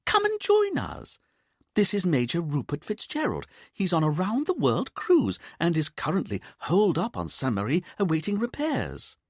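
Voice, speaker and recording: A man voicing a free-spirited middle-aged mother who talks in a lively, breezy, defiant way. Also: a little high, normal speed, no room sound, studio quality, voice pushed up.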